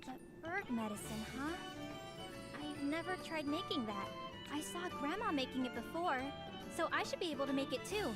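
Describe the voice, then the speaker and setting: A woman voicing a cheerful, clumsy teenage apprentice alchemist in an anime-style game, expressive and earnest. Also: sits high, moderate pace. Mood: eager, determined. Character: cheerful, clumsy sixteen-year-old girl apprentice alchemist. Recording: game music playing behind the voice.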